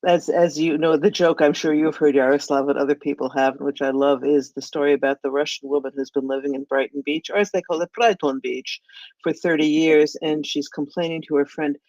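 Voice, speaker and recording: A man's voice: on the high side, steady tone, a little fast, darkish tone, dry recording, dull audio. A man hosting a live audio chat, chatty and ready with a joke. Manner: chatty, tells jokes. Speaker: host. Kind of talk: casual chat.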